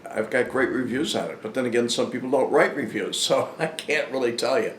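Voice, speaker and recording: An older man telling a casual, unscripted story in a halting, rambling, plain-spoken way. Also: mid-range voice. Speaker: storyteller, former informant hosting his own channel. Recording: echoey room with faint background noise.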